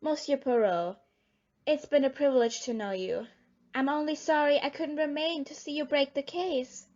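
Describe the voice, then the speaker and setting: A woman plays a composed lady with something to hide in an audio drama. Her voice is high, formal and emphatic, with an imperious edge. The recording is a little dull. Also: normal speed, a little mellow, natural voice. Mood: gracious, composed. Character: composed, imperious lady traveller, secretly a murderous impostor. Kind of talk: amateur audio play acting.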